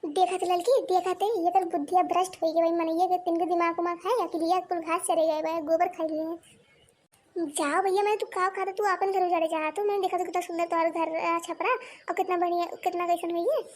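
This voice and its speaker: A woman's voice: very high voice, level tone, fairly quick. A woman voicing a fretful, scolding village wife and mother in a comedy cartoon, with an Awadhi accent and a wailing, exclamatory delivery. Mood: exasperated complaining.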